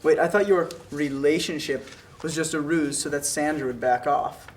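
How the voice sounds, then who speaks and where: Male actor as blunt young college guy, higher-pitched voice, joking scripted banter, faintly noisy room. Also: steady tone, normal speed, natural voice. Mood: casual, incredulous. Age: young adult. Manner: joking, slangy. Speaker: actor at a script table read. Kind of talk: casual dialogue read aloud from a script.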